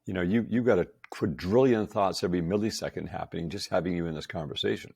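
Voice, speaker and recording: An upbeat man in his sixties holds forth as a podcast guest, his low voice rough with gravel and a husky grain. He hammers his points with repeated emphasis. The sound is close and dry. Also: quick pace, darkish tone, no room sound. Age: 60s. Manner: enthusiastic, emphatic, laughs often. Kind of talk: casual chat.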